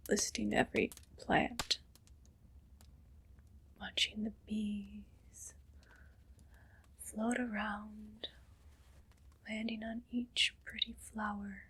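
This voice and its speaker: Woman playing a slow, whispering haughty evil queen. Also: mid-range voice, flat delivery, a bit bright, exaggerated voice. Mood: wistful, languid. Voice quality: breathy whisper. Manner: slow, deliberate, imperious and condescending. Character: haughty, condescending evil queen, faintly kind to servants. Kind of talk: whispered ASMR roleplay.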